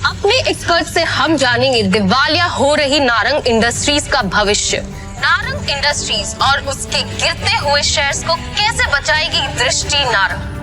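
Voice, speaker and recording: High-pitched female news anchor. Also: big pitch swings, normal speed, music in the background. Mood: dramatic, announcing. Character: brisk female television business news anchor. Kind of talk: dramatized news reading.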